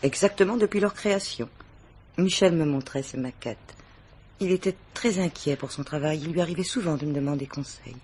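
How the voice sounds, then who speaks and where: An actress playing a worldly, composed middle-aged married mistress questioned by police in a French radio mystery, with measured, formal diction. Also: female voice, low-pitched, darkish tone, a little hiss or hum, natural voice. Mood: poised, assured.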